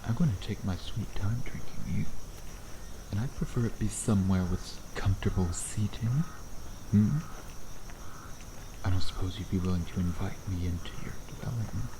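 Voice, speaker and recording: A man playing a sardonic, teasing vampire in an intimate ASMR roleplay, with a low, gravelly voice and an unhurried, predatory manner. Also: background noise. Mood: smug, flirtatious. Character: teasing, sardonic male vampire, a century old, youthful predator.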